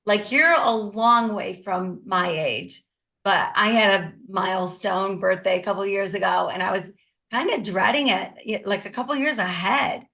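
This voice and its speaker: A woman's voice: medium pitch, moderate pace, creaky, bright, forceful tone. A woman in her sixties hosting a podcast interview, who affirms her guest and shares her own experience in a conversational way. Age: 60s. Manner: affirming and empathetic, with a personal story. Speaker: podcast host.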